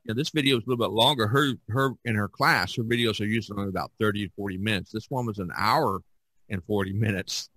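An American man hosting a casual podcast, speaking in a somewhat halting way. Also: on the low side, a bit bright, no room sound. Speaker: podcast host. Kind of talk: casual podcast talk with announcing.

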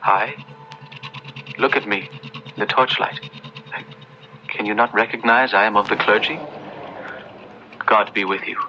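An actor in an old radio drama playing an earnest, tender young clergyman, solemn and theatrical, with a gently fatherly manner. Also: lowish voice, steady tone, measured pace, long pauses, a little hiss or hum. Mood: tender, consoling. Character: earnest, tender young English-ordained clergyman comforting a prisoner. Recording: dull lo-fi old radio recording.

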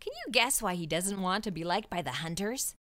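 A woman reading a children's storybook aloud as an expressive narrator. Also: fairly low pitch, a little fast.

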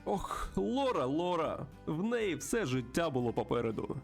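A man's voice: fairly high pitch, very animated, normal speed, vocal fry, faint music behind, voice pushed up. A man voicing a chatty, friendly bird bartender in a western game. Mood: chummy, wistful.

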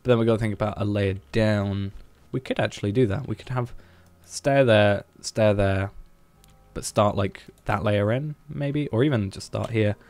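A man's voice: lowish voice, normal speed. A man streaming a building game, thinking aloud in a hesitant, musing way as he plans. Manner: musing, hesitant, planning out loud.

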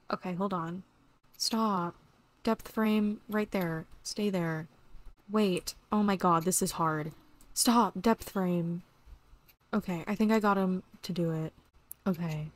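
Young woman, hoarse from losing her voice, excitable casual gaming chatter, desk mic at home. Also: mid-range voice. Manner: chatty, excitable, lots of "like" and "literally". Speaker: gaming YouTuber. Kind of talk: casual gameplay commentary.

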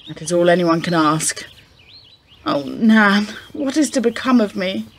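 A woman acting a genteel lady in a radio drama, troubled and wistful. She speaks in formal, measured phrases at a middling pitch, with faint noise on the recording. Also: lively intonation.

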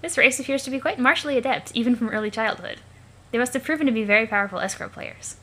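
A woman's voice: fairly high pitch, fast, fairly bright tone, voice pushed up. A woman voicing a wry narrator who fondly observes an alien child in mock-formal, lilting storybook style. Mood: amused, fond.

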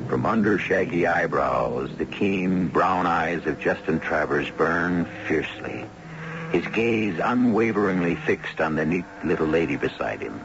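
A man's voice: deep voice, lively intonation, measured pace. A man hosting and narrating a radio drama with scripted, literary, theatrical delivery. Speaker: host and narrator. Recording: dull, noisy 1970s radio recording.

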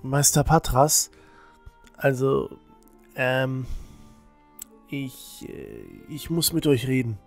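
A man plays an earnest teenage village boy in a fantasy audio drama. He speaks slowly and hesitantly, shy and unsure, with a creaky edge. Faint music plays behind him. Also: mid-range voice, animated. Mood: nervous.

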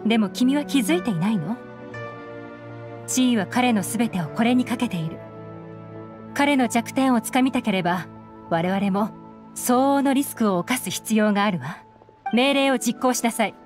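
A woman's voice: fairly high pitch, lively intonation, normal speed, long pauses, a little mellow, lightly acted. A woman playing a composed, shrewd young intelligence chief who speaks with authority in an anime game. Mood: commanding. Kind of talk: Japanese anime game voice acting. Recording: game music behind.

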